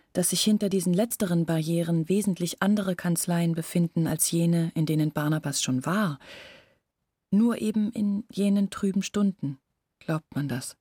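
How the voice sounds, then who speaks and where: A brooding young village woman in a literary radio play. Her voice sits rather low and is husky, recorded close and dry. She confides earnestly and anxiously, as if to one trusted listener. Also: dark tone, no echo. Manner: intimate, brooding, earnest explaining. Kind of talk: literary radio drama acting.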